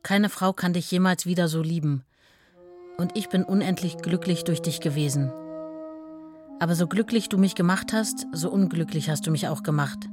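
An actress playing an estranged wife who reads her letters aloud in a measured, restrained, earnest way. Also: fairly low pitch, a little mellow, soft background music, lightly acted.

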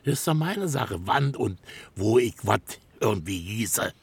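A stubborn older villager in a naturalistic radio play. His husky voice swings widely up and down as he needles and blusters in colloquial speech with a Berlin-Brandenburg accent. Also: on the low side, big pitch swings, a little slow, dry recording, heavily acted. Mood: defensive. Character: gruff, mocking, stubborn village man, older neighbour.

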